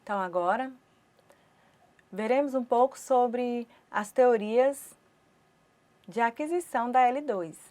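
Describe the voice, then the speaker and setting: A Brazilian Portuguese woman with a fairly high voice interprets a course lecture aloud. She speaks in careful, measured short phrases and pauses between them, like a teacher's words voiced on the fly. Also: a little mellow. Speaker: interpreter voicing a sign-language lecture.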